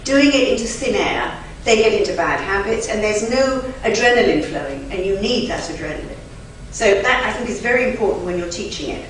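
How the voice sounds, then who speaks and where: A woman whose first language is German, a conference interpreter giving an emphatic, chatty lecture to a live audience with lively asides. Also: medium pitch, a little fast, hardly any pauses. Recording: very echoey hall, from far off, faint noise.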